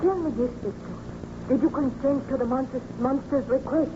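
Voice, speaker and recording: An actress playing a baron's anxious, devoted wife, fretful and earnest in theatrical old-time radio style. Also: female voice, sits high, normal speed, close to an everyday voice. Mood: alarmed. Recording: noisy, muffled old radio recording.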